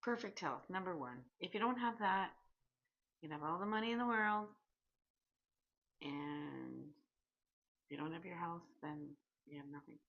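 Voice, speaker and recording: A woman in her sixties from Canada, chatting candidly in a personal vlog, pausing and restarting as she goes. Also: lowish voice, expressive, vocal fry, lo-fi sound. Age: 60s. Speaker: vlogger.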